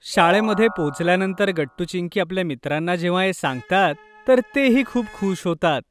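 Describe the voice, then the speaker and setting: High-voiced man narrating a children's story. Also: lively intonation, moderate pace. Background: music.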